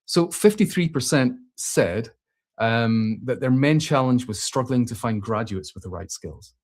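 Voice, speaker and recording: A man's voice: mid-range voice, lively intonation, a little slow, a little mellow. Chatty man thinking aloud with restarts. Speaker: host. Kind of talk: casual spoken commentary.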